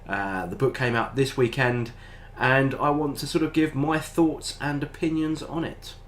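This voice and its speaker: A man's voice: medium pitch, fairly even intonation, unhurried, a little hiss or hum. An older British man with a stuffy, nasal head cold, casually talking through a game book review, rambling with lots of ums and uhs. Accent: British English. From Britain. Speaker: tabletop gaming channel host. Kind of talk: casual review talk to camera.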